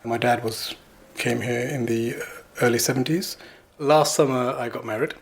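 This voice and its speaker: A middle-aged man from Bangladesh, interviewed at home, talking in a relaxed, chatty way about his studies and family. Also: medium pitch, moderate pace, husky. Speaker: interviewee, planetary science student. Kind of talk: casual interview.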